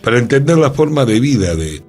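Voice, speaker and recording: A man with a Rioplatense accent hosting a radio show, greeting listeners and co-hosts in an unhurried, friendly way. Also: medium pitch, big pitch swings, darkish tone, soft background music. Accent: Rioplatense Spanish. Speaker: radio host. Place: radio studio.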